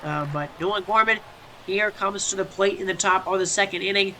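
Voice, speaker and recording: A man's voice: high voice, a little fast, slight background noise, low-quality recording. Congested young man commentating a game. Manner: chatty, many fillers and restarts, excited on big plays. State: stuffy, congested. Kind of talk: casual play-by-play commentary.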